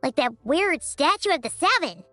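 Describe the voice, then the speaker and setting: A tiny, excitable fairy sidekick in a video game. The voice is extremely high, squeaky and bright, bouncing around with cheeky, chatty energy. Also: female voice, moderate pace, no echo, soft background music. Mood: cheerful. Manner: squeaky, exclamatory, refers to self in third person.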